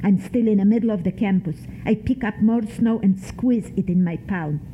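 An older woman from Poland, reading her own memoir in a measured, deliberate way, lingering on single words. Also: medium pitch, mellow. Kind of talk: slow, measured literary reading. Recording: tinny phone-like sound, faint hiss.

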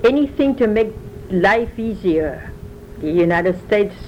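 A woman's voice: medium pitch, lively intonation, measured pace, a little mellow, slight background noise, low-quality recording. An older woman from France with a French accent, telling long rambling stories as the interviewee in an oral history interview. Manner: talkative, rambling storyteller.

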